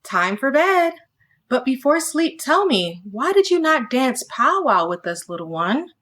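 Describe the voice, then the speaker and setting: A woman voicing a caring auntie in a storybook, softly coaxing and reassuring. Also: sits high, big pitch swings, unhurried, pitched above the performer's own voice, heavily acted. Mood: gentle, coaxing. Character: caring, gentle Lakota auntie speaking to her niece.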